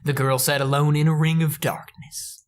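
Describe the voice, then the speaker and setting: A man's voice: fairly high pitch, level tone, normal speed, clear, resonant tone. A man reading narration aloud from a visual novel for a gaming show.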